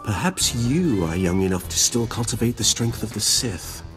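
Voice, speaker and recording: An actor playing an ancient, proud Sith lord in a video game, speaking in a formal, solemn and disdainful manner. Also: male voice, fairly low pitch, lively intonation, moderate pace, over music, close to an everyday voice, low-quality recording. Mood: scornful. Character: ancient, grim, proud Sith lord, three centuries old. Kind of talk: dramatic video game voice acting.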